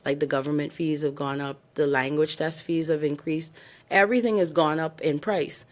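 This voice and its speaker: Low-voiced woman podcast co-host. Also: fairly even intonation, normal speed. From the Bahamas. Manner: candid, storytelling, advice-giving. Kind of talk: casual podcast chat.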